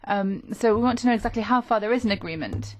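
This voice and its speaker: A woman's voice: medium pitch, quick pace, slight background noise. A woman interprets at a conference, hesitating and filling gaps with ums. Her voice sounds distant from the mic.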